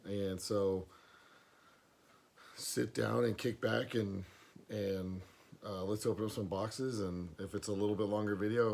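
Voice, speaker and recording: Casting: hoarse man with a lowish voice, easygoing rambler, camera-mic sound. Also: darkish tone, slight background noise. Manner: rambling, many fillers and restarts. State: hoarse, losing his voice. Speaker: pipe smoking vlogger. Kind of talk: casual box opening vlog. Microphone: camera mic, no external mic.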